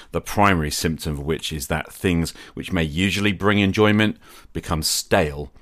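A man hosting a podcast, delivering a scripted, reflective monologue in a measured, articulate way. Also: sits low, fairly bright tone. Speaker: podcast host.

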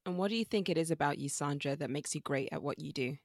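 A woman with a low speaking voice hosts a casual interview in an upbeat, chatty way, often echoing what her guest says. Her voice sounds dry and close, with no room echo around it. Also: quick pace, a little mellow. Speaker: interview host.

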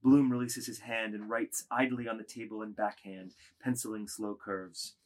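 A man's voice: lowish voice, little pitch movement, moderate pace, darkish tone, natural voice. A man narrating a dramatised novel, reading out stage directions as scripted narration.

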